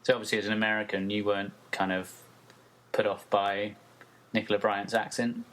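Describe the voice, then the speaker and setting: Man, UK podcast host, lowish voice, easygoing, encouraging, casual interview. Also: little pitch movement, brisk, a bit bright. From United Kingdom. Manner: easygoing, encouraging, laughs along.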